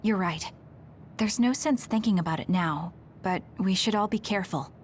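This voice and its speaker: An actress playing a reserved, earnest young soldier in an anime video game dub. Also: female voice, mid-range voice, moderate pace, slight background noise, lightly acted. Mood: calm, serious.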